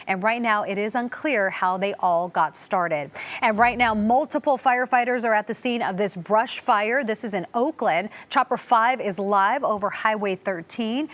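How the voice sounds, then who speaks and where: A woman's voice: mid-range voice, fairly bright tone. A woman news anchor reading scripted news in a broadcast delivery.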